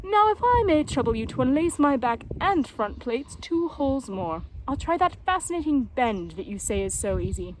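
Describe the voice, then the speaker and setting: Woman putting on an earnest, courteous male tortoise, animated. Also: high-pitched, normal speed, few pauses, a little hiss or hum, poor audio. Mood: encouraging.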